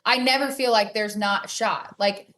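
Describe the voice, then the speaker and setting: A woman's voice: mid-range voice, fairly even intonation, normal speed, bright, forceful tone. Emphatic woman in casual podcast talk. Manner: emphatic, opinionated. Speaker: competitive cornhole player being interviewed.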